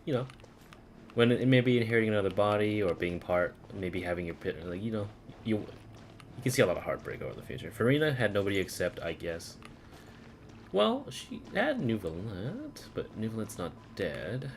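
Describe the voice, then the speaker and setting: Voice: sits low, big pitch swings, normal speed, slight background noise. A game streamer giving casual, rambling live commentary on a playthrough.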